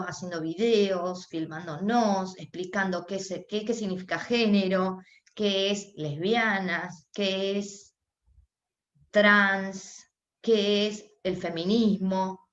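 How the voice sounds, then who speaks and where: A woman with an Argentine accent gives live spoken interpretation for a deaf panelist at a discussion. She speaks haltingly, often pausing and restarting. The recording sounds roomy and muffled, like lo-fi audio from an online talk. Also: mid-range voice, steady tone. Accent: Argentine Spanish. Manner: halting, with frequent restarts and pauses while interpreting. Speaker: sign language interpreter voicing a deaf panelist.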